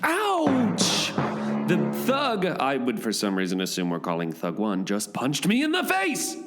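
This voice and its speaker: Male actor as melodramatic radio play sidekick; extremely animated pitch, loud guitar underneath. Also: measured pace. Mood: pained outrage. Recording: loud guitar music behind the voice.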